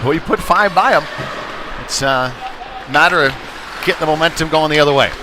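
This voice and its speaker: A man's voice: fairly high pitch, fairly quick, background noise. A man doing live play-by-play commentary of a hockey game, following the action in broken, trailing phrases. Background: arena music over public address.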